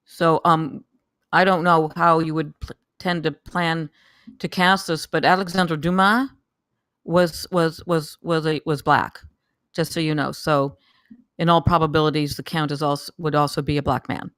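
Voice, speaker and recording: A person giving casual spoken feedback on a script, haltingly, often repeating words and phrases. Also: mid-range voice, steady tone, a bit bright, dry recording, studio quality. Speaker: table read participant giving script feedback.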